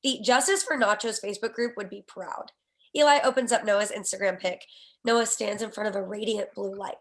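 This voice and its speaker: A woman's voice: medium pitch, fairly quick. A woman reading out scene headings and action lines as scripted narration for a screenplay table read. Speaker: stage direction reader.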